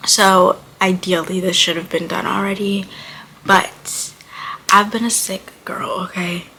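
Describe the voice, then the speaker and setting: Young woman book vlogger, 19, getting over being sick; gravelly fry, chatty and rambling, slips into murmurs. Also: mid-range voice, flat delivery, measured pace, sharp tone, a little hiss or hum, poor audio. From Buffalo, New York. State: recovering from illness, voice slightly off. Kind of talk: casual chat to camera.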